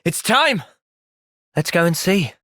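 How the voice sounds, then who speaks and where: A young man playing an earnest, thoughtful young soldier hero in a fantasy video game's cutscenes, with expressive delivery. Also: sits high, slow pace, no echo, clean studio sound, heavily acted. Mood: resolute. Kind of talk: video game cutscene acting.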